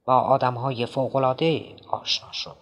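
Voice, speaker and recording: A man reading an audiobook, voicing a rattled, eccentric middle-aged man who pleads and mocks in a jittery, high-strung way. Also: fairly even intonation, fairly bright tone, close to an everyday voice. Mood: pleading. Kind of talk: audiobook character voice.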